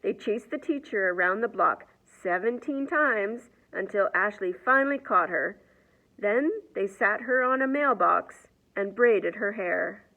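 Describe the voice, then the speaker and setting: A woman reading a children's picture book aloud in an expressive storyteller's manner. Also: mid-range voice, measured pace, muffled recording. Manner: expressive, asks the young listeners questions.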